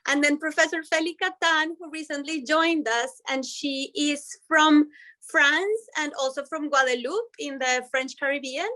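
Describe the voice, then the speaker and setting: Bright high-voiced woman on a video call. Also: unhurried. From Colombia. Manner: upbeat and explanatory, speaks in long flowing sentences. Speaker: language professor. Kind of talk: presenting in an online panel.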